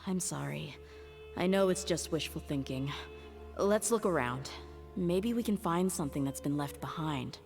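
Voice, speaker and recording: A stern young woman mercenary in a video game, speaking low over soft music. She is blunt and commanding, with weight in every line. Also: expressive, moderate pace, creaky. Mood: subdued. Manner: firm, blunt, demanding.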